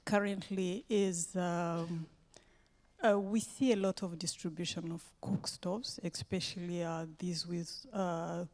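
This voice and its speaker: Slow, mellow-voiced woman from Rwanda. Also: on the low side, fairly even intonation. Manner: slow, hesitant, many "um" fillers. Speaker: government energy official. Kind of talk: conference question from the floor. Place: conference hall.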